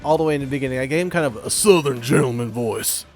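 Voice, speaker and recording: A man's voice: medium pitch, fast, faint music behind. A man hosting a game playthrough, chatting casually in a joking, playful way. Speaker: gaming video host.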